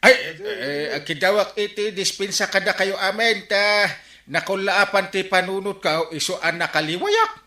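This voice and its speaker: A man with an Ilocano accent playing an excitable, blustering village captain in a comedy radio drama, stammering and exclaiming as he makes excuses. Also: high-pitched, moderate pace, roomy sound, heavily acted. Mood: defensive, loud.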